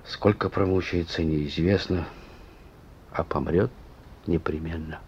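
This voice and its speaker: An actor playing a shrewd, stern police chief with an ironic, folksy way of talking in a Soviet radio play. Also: male voice, deep voice, fairly even intonation, a little slow, darkish tone, slight background noise. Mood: grim, stern. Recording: muffled old radio recording.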